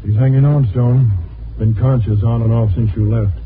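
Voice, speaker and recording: An actor playing a grim, matter-of-fact hospital doctor in 1950s radio style. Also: male voice, mid-range voice, fairly even intonation, normal speed, natural voice. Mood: grim, flat. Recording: muffled, noisy old radio recording.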